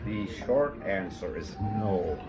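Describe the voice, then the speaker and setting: An actor playing a gruff, drunken antiques dealer, belligerent and dismissive, in an audio thriller. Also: male voice, sits low, animated, slow, darkish tone, faint music behind. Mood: belligerent, tipsy. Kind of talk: spy thriller audio drama acting.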